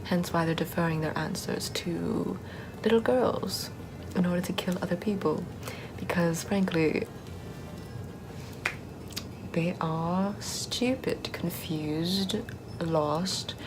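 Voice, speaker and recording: A woman acts out a Puritan-era Salem witch in an ASMR roleplay. The voice is fairly low and hushed, often a whisper, with a casual, sardonic, unbothered manner. Noticeable noise sits over the recording. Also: slow, long pauses. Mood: scornful.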